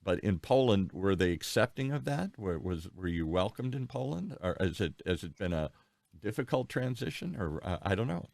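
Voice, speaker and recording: A man's voice: lowish voice, big pitch swings, normal speed, vocal fry, a little mellow, dry recording. An American man hosting an interview, asking long, rambling questions with frequent restarts. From United States. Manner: rambling, thinks aloud with many restarts. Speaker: podcast host. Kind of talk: conversational interview.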